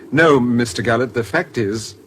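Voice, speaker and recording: An actor playing a courteous, slightly hesitant English gentleman with a secret, in a British radio drama. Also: medium pitch, normal speed, a little hiss or hum, lightly acted. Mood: genial, hesitant. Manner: polite, hesitant, slightly fussy.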